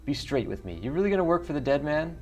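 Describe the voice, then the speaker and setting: A man voicing a wry, matter-of-fact dwarf coroner in a video game, explaining things in a measured way. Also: a little high, sing-song, faint music behind, pitched above the performer's own voice. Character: dry, wry dwarf coroner, principled and solitary.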